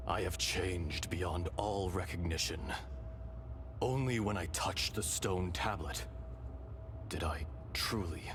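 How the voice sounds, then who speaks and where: An actor playing a calm, wistful ancient dragon spirit in human form for a video game, speaking solemnly and deliberately. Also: male voice, low voice. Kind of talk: dramatic video game voice acting. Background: game music.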